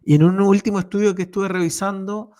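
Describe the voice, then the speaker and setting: A man's voice: high-pitched, steady tone, quick pace, a little mellow. A man hosting a radio interview who hesitates with many "eh" fillers and shifts between Spanish and Portuguese. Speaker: radio show co-host.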